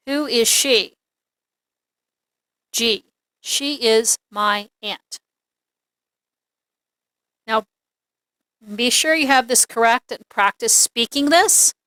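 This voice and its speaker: A woman teaching an English lesson, reading examples aloud in a deliberate, clearly enunciated, instructional way. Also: on the high side, sharp tone, dry recording, poor audio.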